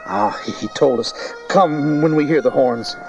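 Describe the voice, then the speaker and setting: An actor playing a polite, dryly witty travelling wise man in a dramatized audio Bible, with a courteous, measured manner. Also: medium pitch, over music, lightly acted. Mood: quiet. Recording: dull cassette tape transfer.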